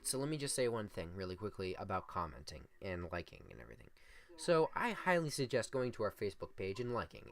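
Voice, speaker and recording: A young man with a deep, monotone voice co-hosting a casual improvised podcast and rambling through his points on a desktop USB mic. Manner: rambling, filler-heavy. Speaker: podcast co-host.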